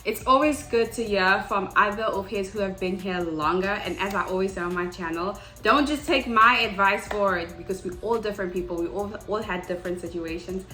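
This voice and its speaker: Chatty woman host, echoey room. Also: medium pitch. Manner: chatty, excitable, bursts into shouts. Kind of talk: casual video interview. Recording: echoey room with faint music.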